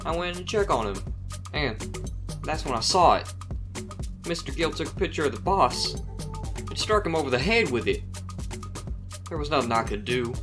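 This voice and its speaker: A man's voice: mid-range voice, natural voice. A man voicing a nervous male guard testifying in court, anxiously calling everyone "sir". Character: nervous male guard witness, jumpy and eager to please.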